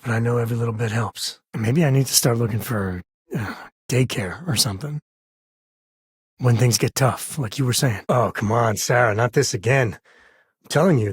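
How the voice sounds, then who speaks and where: An actor voicing a gruff, wry spacefaring cowboy father in a video game, with a husky, raspy voice and folksy, informal delivery. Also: medium pitch, no echo, studio quality. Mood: easygoing. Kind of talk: video game character voice lines.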